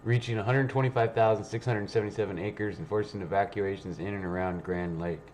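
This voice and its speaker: A man's voice: fairly low pitch, fairly even intonation, fairly quick, darkish tone, slight background noise. A man hosting a livestream, rambling casually and reading news aloud in a blunt, sweary, offhand way. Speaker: livestream host.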